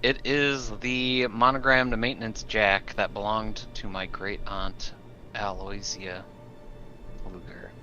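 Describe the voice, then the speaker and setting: A man's voice: fairly low pitch, little pitch movement, slow pace, sharp tone, highs cut off, faint music behind. A man chatting casually as a player in a tabletop roleplaying game. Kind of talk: casual game-table chat, some in-character speech.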